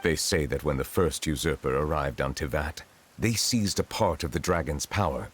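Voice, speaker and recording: Very deep, solemn dragon sovereign. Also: male voice, breathy edge. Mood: formal, explanatory. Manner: formal, measured, reserved, courteous. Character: dignified male water dragon sovereign in human guise, solemn. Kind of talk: English video game voice acting. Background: game music.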